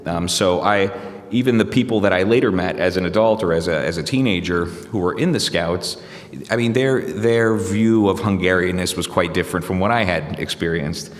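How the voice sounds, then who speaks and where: A man's voice: low-pitched, normal speed, big-room sound. A man from the United States, a journalist guest, answering interview questions in a reflective, hesitant way with many fillers and restarts.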